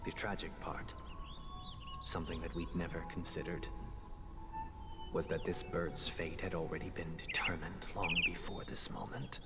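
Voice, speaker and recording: Brooding polite game mastermind dude, low soft formal storytelling, super echoey, loud music under it. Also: male voice, steady tone. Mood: wistful, grave. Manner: measured, formal, theatrical, gently persuasive. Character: solemn, soft-spoken young male mastermind, courteous and brooding. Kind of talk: scripted video game dialogue, storytelling monologue. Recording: long echoing reverb, loud music behind.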